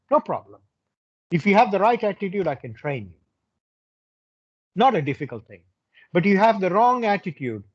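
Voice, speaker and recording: An older man in his sixties speaks with big swings of pitch and a rough, husky edge. He is emphatic, restating key phrases as he motivates young students. The sound is slightly dull and narrow. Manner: emphatic, repeats phrases for stress. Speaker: hotel general manager, guest speaker.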